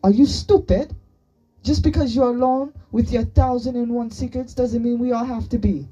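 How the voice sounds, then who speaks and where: A woman voicing a stern, exasperated young boy in a story, forceful and scolding, slightly distant from the microphone. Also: high voice, steady tone, fairly quick, lo-fi sound, voice pushed up. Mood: angry, scolding.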